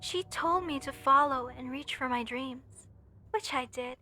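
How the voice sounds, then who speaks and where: A woman's voice: high-pitched, measured pace, pushed and bright, faint music behind. A woman playing an obsessive, sweetly menacing mortician in a horror roleplay, with sugary, theatrical delivery and formal wording. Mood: emotional, grateful. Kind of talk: scripted horror roleplay monologue to listener.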